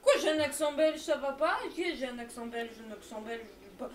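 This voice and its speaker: A woman's voice: high-pitched, fairly quick. A woman co-hosting a game stream, chatting and teasing her partner. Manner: teasing, bantering. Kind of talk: casual chat. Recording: echoey room with background noise.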